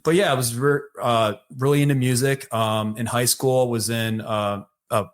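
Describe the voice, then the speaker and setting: A man's voice: mid-range voice, fairly even intonation, moderate pace. A middle-aged man with a distinct Michigan accent talks loosely as an interview guest. He rambles, doubling back with fillers and restarted phrases as he goes. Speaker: sales leader, podcast guest. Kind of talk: casual interview conversation.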